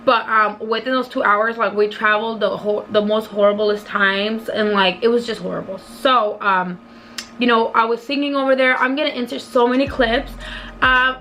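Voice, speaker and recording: A hoarse young woman who has partly lost her voice tells a rambling, chatty storytime to her viewers as a beauty vlogger. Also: fairly high pitch, fairly even intonation, moderate pace, sharp tone, soft background music. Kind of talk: casual storytime vlog.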